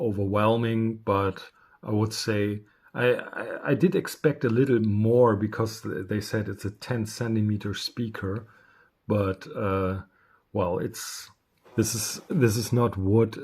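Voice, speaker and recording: Man, slow halting delivery, lowish pitch, casual unscripted reviewer. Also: a little mellow, slightly rough. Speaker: radio reviewer.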